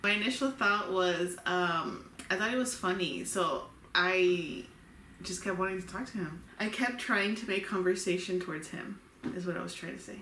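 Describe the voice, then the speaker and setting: A woman's voice: lowish voice, moderate pace, pushed and bright. A woman in her late twenties from Los Angeles, co-hosting a couple's Q&A vlog and telling stories in an easygoing, giggly way. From Los Angeles, USA. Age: 20s. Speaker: vlogger co-host. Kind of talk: casual couple Q&A chat. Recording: echoey room with faint hiss.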